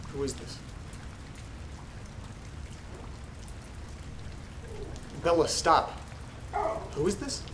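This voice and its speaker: A man acting in a horror audio drama as an uneasy letter reader, telling things in a subdued, measured way with dramatic pauses. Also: on the high side, natural voice. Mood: wary, exasperated. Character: uneasy man reading creepy letters aloud alone. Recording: roomy sound with rain and noise. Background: rain and thunder ambience.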